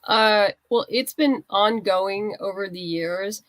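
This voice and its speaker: A woman's voice: medium pitch, slow, dry recording. A woman speaking conversationally as an interview guest, explaining her own creative work as a writer.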